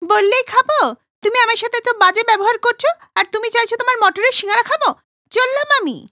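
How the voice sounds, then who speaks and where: A woman's voice: very high voice, fast, bright, forceful tone, no echo, clean studio sound, pitched above the performer's own voice, full character voice. A woman voicing an indignant village woman in a cartoon, scolding and shrieking. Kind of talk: Bengali cartoon voice acting.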